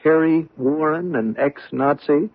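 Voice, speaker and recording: A chuckling, easygoing husband. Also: male voice, a little high, measured pace. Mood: amused. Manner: stammering, chuckling, breezy. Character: genial, easygoing middle-aged husband. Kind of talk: 1970s radio drama acting. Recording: tinny old radio broadcast.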